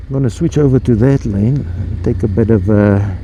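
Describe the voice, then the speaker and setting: A man's voice: lowish voice, moderate pace, mellow, highs cut off. A South African man chatting casually and rambling as he rides his motorbike through town, recorded on a helmet mic outdoors. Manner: rambling, chatty, with fillers and playful rhymes. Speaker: motovlogger. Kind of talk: casual motovlog chat while riding. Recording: noisy ride with road and wind noise.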